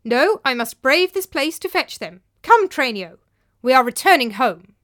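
A woman's voice: high voice, very animated, moderate pace, fairly bright tone, voice pushed up. A woman voicing a gullible, superstitious old master of a household, blustering and emphatic, in a dramatised story. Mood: stubborn.

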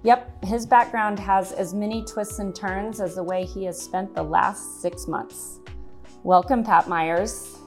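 A woman's voice: mid-range voice, steady tone, slow pace, soft background music. A woman co-hosting a podcast, reading polished, upbeat introductions and asking interview questions. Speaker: podcast co-host.

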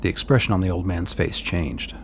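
A male narrator with a very low, gravelly voice tells a dramatic story. His delivery is measured and literary, with a little noise in the background.

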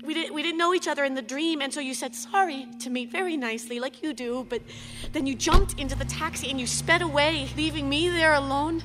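A woman's voice: high-pitched, a little fast, lightly acted. A woman acting a despairing, tender but bitter grieving mother in an emotional radio drama. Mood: agitated, bitter. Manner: sweary, intense, wounded delivery. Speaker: actress in a radio play. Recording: long hall reverb, background noise.

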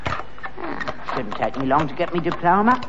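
An actor playing a crotchety old rag-and-bone father, gruff and taunting, in a 1960s radio sitcom. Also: a little high, sing-song, unhurried. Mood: smug. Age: older. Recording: dull old radio recording with long reverb and faint hiss.

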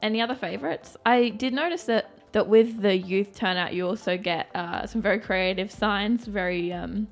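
A young woman co-hosting a podcast, measured and thoughtful. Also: mid-range voice, faint music behind. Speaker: podcast co-host. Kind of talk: scripted podcast intro and chat.

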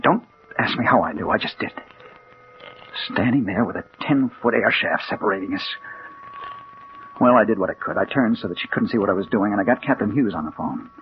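An actor playing an impatient, haunted American crime reporter, tough and slangy, in 1940s radio drama style. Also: male voice, lowish voice, close to an everyday voice. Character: hard-boiled male crime reporter, impatient, obsessive, haunted. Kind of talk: 1940s radio drama acting, first-person narration. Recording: dull, hissy 1940s radio recording.

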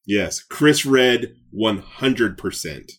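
A man's voice: on the low side, animated, extremely slow, a bit bright. A man co-hosting a podcast in casual chat, slangy and enthusiastic. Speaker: podcast co-host. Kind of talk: casual chat, riffing on movie ideas.

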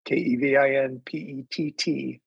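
A man with a gravelly, husky voice, chatting haltingly as a podcast guest. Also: medium pitch, fairly even intonation, no echo. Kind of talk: casual chat. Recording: dull lo-fi audio.

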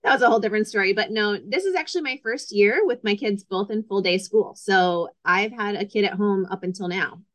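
A woman in her thirties from Connecticut, talking as a guest in a casual interview, relaxed and chatty with frequent ums. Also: medium pitch, a little fast, few pauses, no room sound. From Connecticut, USA. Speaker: guest, photographer.

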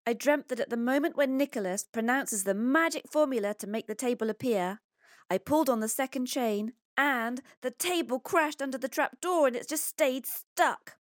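A woman's voice: fairly high pitch, normal speed, few pauses, dry recording, studio quality, pitched above the performer's own voice, close to an everyday voice. A woman voicing an anxious, agitated schoolboy in a children's story, breathless and exclaiming. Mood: worried, crushed.

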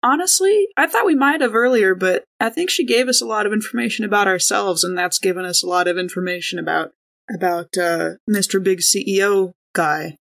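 A woman's voice: medium pitch, fairly quick, creaky, a bit bright. A woman playing a tabletop game, talking through options in an informal, hedging, conversational way. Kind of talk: casual in-character tabletop discussion.

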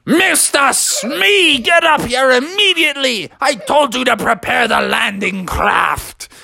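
A storyteller voicing a bossy, heroic frog pirate captain with commanding, drawn-out pirate-speak in a children's story. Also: mid-range voice, lively intonation, creaky, bright, forceful tone, full character voice. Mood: scolding.

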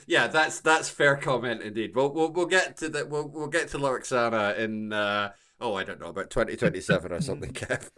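A man hosting a podcast, casually discussing a TV show in wordy, halting phrases full of repeated words. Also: mid-range voice, pushed and bright. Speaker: podcast host.

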